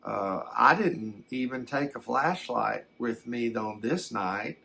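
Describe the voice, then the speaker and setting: A man plays a folksy fellow telling his own strange tale for ASMR. His voice sits at an ordinary male pitch and moves very slowly. He rambles plainly, halting and restarting as he recollects. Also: expressive, creaky, pushed and bright, slight background noise, full character voice. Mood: musing, matter-of-fact. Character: folksy man recounting his alien abduction. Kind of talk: unhurried ASMR first-person storytelling.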